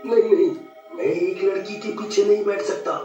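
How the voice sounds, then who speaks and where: A man's voice: sits high, moderate pace, warm tone, lightly acted. A man playing a timid, lovestruck, boastful young village simpleton in a story, with whiny, stammering speech. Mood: bashful, boastful. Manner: whiny exclamations, hesitant stammering. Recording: echoey, distant, dull audio with music.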